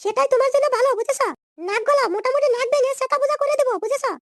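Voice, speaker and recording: A woman voicing a brash, defiant young wife in a comedy cartoon, scolding and bossy, with a squeaky sped-up effect. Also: fairly even intonation, very fast, no echo. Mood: mocking, threatening.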